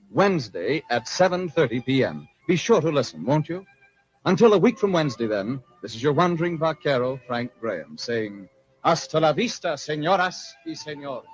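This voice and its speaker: A man's voice: fairly high pitch, sing-song, moderate pace. An American man hosting and narrating a 1940s radio drama as a courtly, theatrical storyteller. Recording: dull vintage radio broadcast with music.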